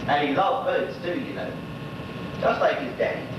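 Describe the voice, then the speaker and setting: An actor playing a lonely old widower in a stage monologue, chatting wistfully and rambling in a folksy Bermudian dialect. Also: male voice, on the high side, a little slow, distant mic, close to an everyday voice. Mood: fond, amused. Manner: rambling, folksy, gossipy storytelling. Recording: echoey stage recording from afar, noisy, dull.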